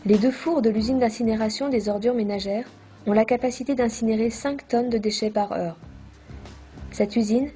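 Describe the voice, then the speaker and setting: A woman reading a scripted documentary narration in a measured, formal manner. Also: fairly high pitch, dark tone.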